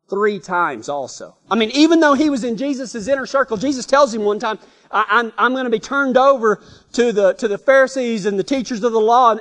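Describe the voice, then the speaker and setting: A man with a Texan drawl preaches in a loose, storytelling way, restarting his sentences and chuckling as he goes. His voice sits high for a man and rises and falls, and it carries a long echo from a big hall. The tone is plain and folksy. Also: a bit bright. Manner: rambling, self-correcting, chuckling storyteller.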